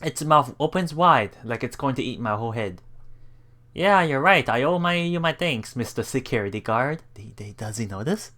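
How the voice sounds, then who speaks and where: A man's voice: mid-range voice, animated, moderate pace, sharp tone. A man hosting a let's play, reading visual novel text aloud and adding casual, reactive asides. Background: game music.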